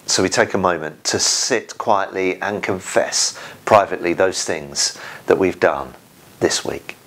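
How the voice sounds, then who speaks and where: A middle-aged man, leading prayer and reading scripture in a measured, deliberate way as a church minister. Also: low-pitched, a bit bright. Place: small home conservatory.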